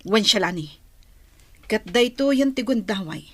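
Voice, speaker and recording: A woman's voice: mid-range voice, expressive, normal speed, slightly rough, no echo. A woman playing an earnest, emotive mature woman, a motherly elder figure, in an Ilocano radio drama. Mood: urgent, instructing.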